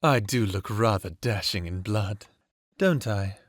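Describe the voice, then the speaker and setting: A man's voice: on the low side, unhurried, no room sound, studio quality, close to an everyday voice. A man acting a sadistic, playful vampire villain who taunts theatrically in an audio drama. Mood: smug, toying. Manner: taunting, theatrical, toying with words.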